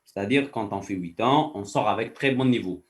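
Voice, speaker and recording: A man's voice: fairly low pitch, moderate pace, far from the mic. A man, a faculty director, giving explanatory answers as an interview guest and patiently walking through first-person examples.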